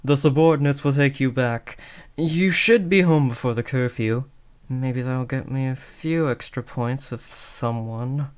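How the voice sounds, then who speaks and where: Guy doing a awkward teen boy wannabe supervillain, earnest n flustered, mid pitched, keeps correcting himself, comedy roleplay. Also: unhurried, smooth, lightly acted. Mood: awkwardly reassuring. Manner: hesitant, awkward, self-correcting. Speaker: audio roleplay performer. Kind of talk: comedic roleplay voice acting addressed to the listener.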